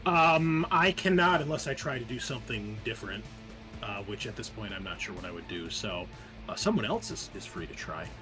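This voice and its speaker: A man's voice: on the low side, expressive, brisk. A man playing a tabletop game, chatting casually and thinking aloud in a hesitant way. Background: soft music.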